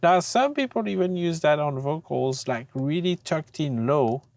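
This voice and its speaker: A tutor giving an informal software demonstration, speaking in a hesitant, halting way with frequent pauses. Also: mid-range voice, animated, gravelly, no echo. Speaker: audio mixing tutor.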